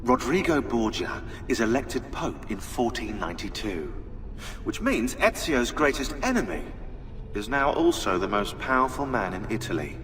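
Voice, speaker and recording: An actor playing a brainy, know-it-all historian in a video game, dry and sardonic, with a British accent. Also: male voice, mid-range voice, sing-song, normal speed, fairly bright tone. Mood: explaining. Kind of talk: video game cutscene acting. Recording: long hall reverb.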